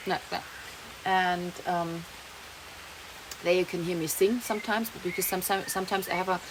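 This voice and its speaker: A young woman with a German accent, rambling in a casual vlog monologue and slipping German words into her English. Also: medium pitch. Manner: rambling, chatty, laughs often, slips German words in. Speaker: vlogger. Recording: noisy background, rain heard.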